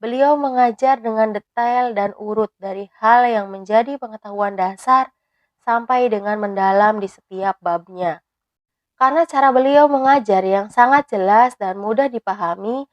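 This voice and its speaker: A woman in her thirties, a teacher, reading a prepared personal reflection in a measured, even way. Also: medium pitch, no room sound, highs cut off. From Indonesia. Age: 30s.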